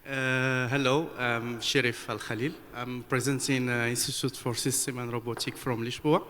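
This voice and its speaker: A man from Morocco, a researcher speaking up from the audience at a conference, halting and earnest, repeating words for emphasis. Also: medium pitch, flat delivery, a bit bright. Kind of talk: impromptu speech from the floor. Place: conference hall. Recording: long hall reverb, faint room noise.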